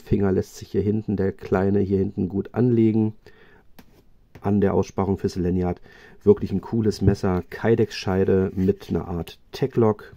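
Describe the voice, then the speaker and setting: A man reviewing knives in a chatty, casual way, often pausing with fillers and restarting his sentences. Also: sits low, gravelly, warm tone. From Germany. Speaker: knife reviewer. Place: indoors at a table, hands-only video.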